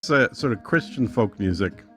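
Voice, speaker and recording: A man's voice: on the low side, animated, moderate pace, faint music behind. A man explaining things casually and with assurance, as a guest in a conversation. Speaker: podcast guest demonstrating software.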